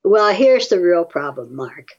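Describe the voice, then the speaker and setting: Older woman, animated pitch, slightly husky. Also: a little slow. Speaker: guest reading and commenting on research studies. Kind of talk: reading aloud and casual explanatory chat. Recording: slightly dull, muffled sound.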